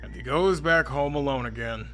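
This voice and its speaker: A man's voice: mid-range voice, normal speed, sharp tone, soft background music, lightly acted. A man playing a laid-back, wry skeleton with a casual, dry, needling way of talking in a fan comic dub. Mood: grave, blunt.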